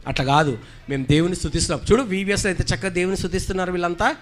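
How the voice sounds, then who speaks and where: A man's voice: high-pitched, rapid-fire. A man acting as presenter and straight man in a Sunday school puppet dialogue, patiently questioning and correcting like a teacher.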